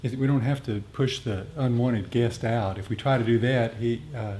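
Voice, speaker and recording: A man with a soft, mellow voice gives a reflective dharma talk. He explains things haltingly, pausing to find his words as he answers a group. A low rumbling noise sits behind him. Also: steady tone. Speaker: meditation teacher. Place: group meditation gathering.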